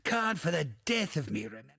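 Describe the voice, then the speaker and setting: An actor playing a bawdy, wistful old ghost in a video game, theatrical and drawling, with a gravelly, rasping voice. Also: male voice, fairly high pitch, sing-song, fairly quick, sharp tone, no echo, clean studio sound, full character voice. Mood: gruff, rueful. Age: older. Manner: theatrical, salty archaic pirate talk, swooping and drawling. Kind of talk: video game character monologue.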